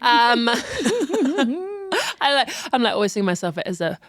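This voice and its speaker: A woman co-hosting a podcast, telling her story in animated, casual chat. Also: fairly high pitch, measured pace, crowd noise. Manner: animated storytelling, laughs often, repeats words. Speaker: podcast co-host.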